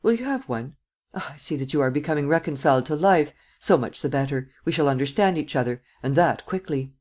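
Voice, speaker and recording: A man voicing a coolly sardonic, persuasive prince in an audiobook, speaking with deliberate, imperious command. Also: on the high side, expressive, darkish tone. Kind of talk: dramatic audiobook character acting.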